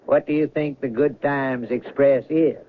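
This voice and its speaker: A narrow, lightly hissing vintage radio recording features a man acting a cryptic train official. He is unruffled and teasing, matter-of-fact as if he knows every answer, in a medium-pitched voice. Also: steady tone, a little slow, lightly acted. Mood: knowing. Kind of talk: 1970s radio drama acting. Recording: thin band-limited vintage radio recording, hissy.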